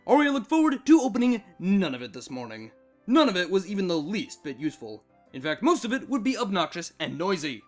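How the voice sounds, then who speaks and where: High-voiced man reading a story. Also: very animated, brisk, darkish tone, soft background music. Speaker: fan fiction narrator. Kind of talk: expressive scripted story narration.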